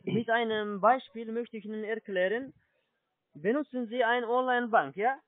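High-voiced foreign-accented man on muffled phone. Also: no room sound. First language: not German. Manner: halting explanations, often checking with "ja?". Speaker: telephone sales agent, scammer. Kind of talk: phone sales pitch. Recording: muffled low-fidelity phone line.

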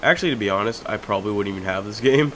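A young man casually commentating a video game as he plays, chatty and joking, with sudden loud outbursts. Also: on the low side, racing, slight background noise. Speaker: gaming YouTuber. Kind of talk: casual let's-play commentary.

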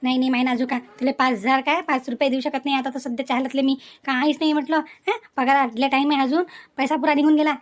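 A woman's voice: high-pitched, little pitch movement, fast talker, natural voice. A woman voicing a thrifty, exasperated older mother-in-law in a family cartoon, grumbling in lists with a Varhadi Marathi accent. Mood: weary refusing. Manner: grumbling, complaining in repetitive lists. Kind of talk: cartoon family comedy acting.

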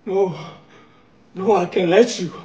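Casting: young male actor as a desperate possessed man, strikingly high-voiced, anguished and halting, stage-style monologue. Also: fairly even intonation, mellow, dull audio. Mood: pleading, fearful. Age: young adult. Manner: anguished, halting, swinging into outbursts. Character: tormented, demon-possessed man, desperate and despairing. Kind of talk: dramatic monologue performance. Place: tiled living room, indoors. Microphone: phone a few steps away.

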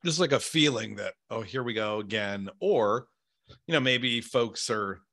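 Middle-aged male interviewer, lowish bright voice, very lively intonation, casual and musing. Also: measured pace. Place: small home office, indoors. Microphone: desk microphone on boom arm.

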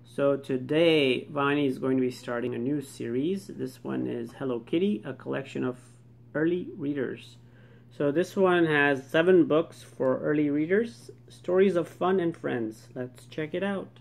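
Male host for a homemade children's reading vlog, creaky voice, casual intros, light noise on the recording. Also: fairly high pitch.

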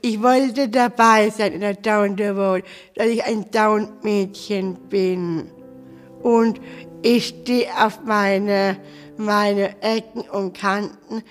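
A young woman speaking earnestly in an interview, pausing to search for words. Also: mid-range voice, fairly even intonation. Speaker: participant. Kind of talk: interview testimony. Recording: music bed under the voice.

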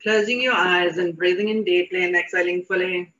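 A woman leading a guided meditation in a soothing, deliberate manner with repeated phrases. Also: lowish voice, fairly even intonation. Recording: dull lo-fi audio.

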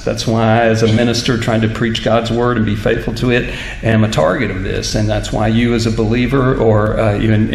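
Man speaking in an echoey hall. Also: fairly low pitch. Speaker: minister giving a talk. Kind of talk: public lecture in church, reading from notes. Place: church stage at a lectern, indoors. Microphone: microphone on stand. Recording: roomy hall echo, clear background noise.

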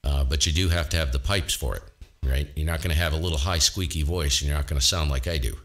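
Boomy baritone man explaining radio gear. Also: fast talker. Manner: relaxed, explanatory, thinking aloud. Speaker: ham radio operator and host. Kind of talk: casual technical walkthrough. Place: home radio shack.

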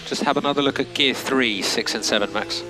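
A man's voice: mid-range voice, a little fast, over music. A man working as a race engineer, giving clipped, matter-of-fact instructions and timing figures over team radio. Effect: team radio transmission. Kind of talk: terse race radio instructions and data readouts. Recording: noisy motor racing radio, vehicle noise.

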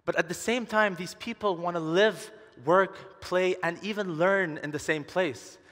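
A man in his twenties delivers a prepared stage presentation. His voice sits somewhat higher than most men's. The sound carries the long reverb of a large hall, picked up on a headset mic. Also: a little slow, a bit bright. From Dubai. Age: 20s. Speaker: conference speaker, entrepreneur and urban designer.

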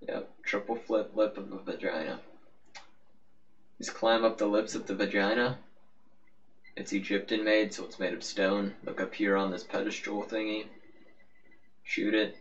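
A man's voice: fairly low pitch, a bit bright. A man giving a casual, rambling, offhand game walkthrough as the host of a gaming video. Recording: muffled, noisy, distant-sounding microphone.

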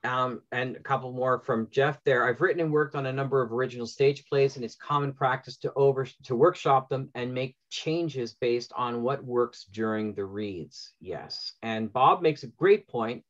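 A man's voice: medium pitch, normal speed, few pauses, fairly bright tone. Male panel host, slightly dull call audio. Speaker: panel moderator. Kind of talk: hosting a panel discussion, reading out audience comments. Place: indoors at home, on a video call. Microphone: video call microphone.

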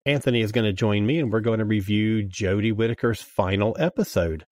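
Low-voiced man, casual podcast guest. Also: a little mellow, dry recording, studio quality. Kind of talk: casual chat.

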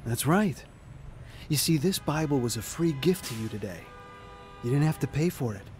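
An actor playing a kindly, assured street evangelist, reassuring and earnest, in an audio drama. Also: male voice, mid-range voice, animated, moderate pace, darkish tone, faint music behind, natural voice.

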